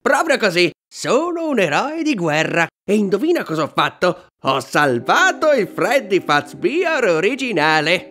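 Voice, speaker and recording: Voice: medium pitch, big pitch swings, measured pace, few pauses, fairly bright tone. A performer voicing a sardonic, boastful, battle-worn animatronic rabbit in a melodramatic, mocking cartoon dub.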